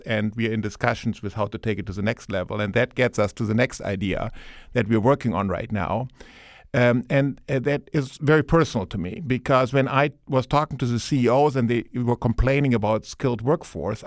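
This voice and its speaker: Man, earnest and halting. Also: medium pitch, creaky, no echo, studio quality. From Germany. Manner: earnest, thinks aloud with many fillers. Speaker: panel guest, manufacturing advocate. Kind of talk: panel discussion.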